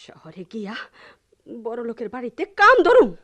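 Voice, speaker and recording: High, animated village wife. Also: female voice, moderate pace, exaggerated voice. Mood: defiant. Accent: rural Bangladeshi. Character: defiant, playful village wife, fed up with poverty. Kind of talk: Bengali radio drama acting.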